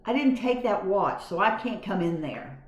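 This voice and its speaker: A woman's voice: mid-range voice, animated, normal speed, lightly acted. A woman voicing a young boy who is wary and stubborn, blunt and easily startled. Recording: roomy echo.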